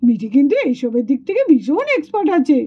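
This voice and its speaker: A woman's voice: high voice, sing-song, fast. A woman voicing a fretful, chatty young schoolchild doll in a children's puppet cartoon. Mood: worried. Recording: dull lo-fi sound.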